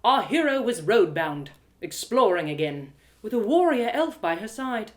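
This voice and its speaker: Female storyteller for first-person fantasy narration, expressive and dramatic, mid-pitched with lively intonation. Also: moderate pace.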